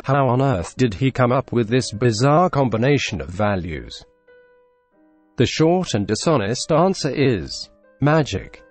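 Russian-accented young man, deadpan tutorial narrator with dry jokes, mid-range voice, faint music behind. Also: mid-range voice. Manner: deadpan, dry absurd humor. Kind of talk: scripted screen-recorded tutorial voice-over.

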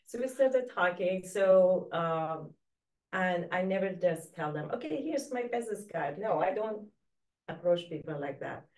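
A woman's voice: fairly low pitch, animated, a little slow, a little mellow. A middle-aged woman with a Persian accent chats and tells stories casually, acting out bits of dialogue. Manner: chatty, acts out quoted dialogue. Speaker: guest, real estate agent. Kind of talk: casual conversational storytelling. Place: small indoor studio set, seated. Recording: distant mic in a room.

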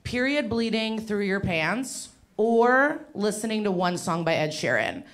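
A woman's voice: medium pitch, expressive, measured pace, very noisy. A woman in her thirties doing a stand-up comedy set on a handheld mic, rambling and chatty with asides to the audience. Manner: rambling, conversational, crowd-work asides. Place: small dim venue with a live audience. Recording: loud audience laughter. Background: audience laughter.

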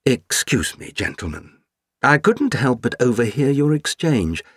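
A man's voice: medium pitch, big pitch swings, unhurried, slightly rough, dry recording, clean studio sound, pitched above the performer's own voice. Man playing a florid, gallant Russian count. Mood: grandiloquent. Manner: florid, theatrical oratory. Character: eloquent, gallant Russian count, grand and witty.

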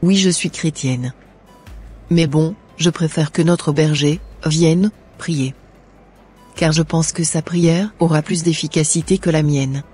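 Man voicing an earnest, husky young cartoon woman. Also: a little high, steady tone, takes it slowly, a little mellow. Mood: earnest, polite. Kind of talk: scripted cartoon dialogue. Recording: music under the voice.

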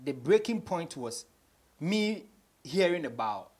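A man's voice: on the high side, sing-song, slow, darkish tone. A young Ghanaian man, a rapper being interviewed, casually telling stories in a mix of English and Twi. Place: small radio studio office, seated on a couch.

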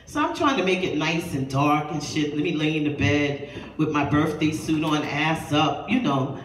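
A woman's voice: low voice, expressive, moderate pace. A woman in her fifties doing a raunchy stand-up set in a small club, brash and profane, talking casually with the crowd. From New York. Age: 50s. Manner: brash, profane, conversational, with frequent asides to the crowd. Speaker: stand-up comedian. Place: small dim club with a low stage. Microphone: handheld mic, filmed by a far camera in the crowd. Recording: roomy, distant club audio with loud crowd noise.

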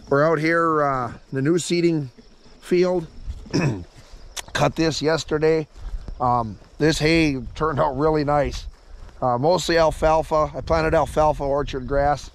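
A hoarse man in his thirties, his voice worn down by a cold, talks a farm vlog to a camera held at arm's length in an open field. He clears his throat again and again and keeps a relaxed, plainspoken pace, with a fairly high pitch for a man. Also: a little slow. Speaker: farmer. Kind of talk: casual vlog narration. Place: open hay field outdoors. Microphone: handheld camera at arm's length.

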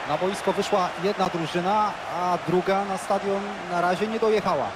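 A man doing play-by-play commentary for a football video game, lively and bursting out on goals. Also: high voice, normal speed, very noisy. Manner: excitable, erupts on goals. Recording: loud stadium crowd behind.

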